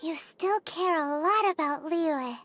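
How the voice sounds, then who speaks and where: A woman voicing a tiny chirpy fairy girl companion in a game, with a squeaky high voice. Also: slow pace, a bit bright, slight background noise, heavily acted. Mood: curious. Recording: game audio with music behind. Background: music.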